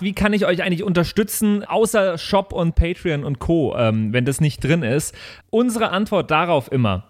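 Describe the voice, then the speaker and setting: Male podcast host, fairly high voice, friendly, informal, casual intro delivery.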